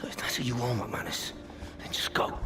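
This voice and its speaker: An actor playing an earnest young British soldier under strain in a war video game. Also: male voice, fairly low pitch, fairly quick, music in the background, natural voice. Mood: confronting. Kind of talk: dramatic video game acting.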